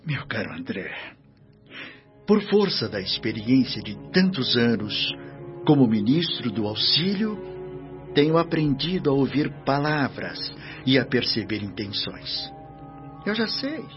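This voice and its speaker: A stern, wise spirit minister admonishing a disciple in a radio drama. His speech is formal and measured, with expressive, swinging pitch. The recording is slightly dull, with faint music underneath. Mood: knowing, measured. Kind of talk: radio drama acting, preachy dialogue.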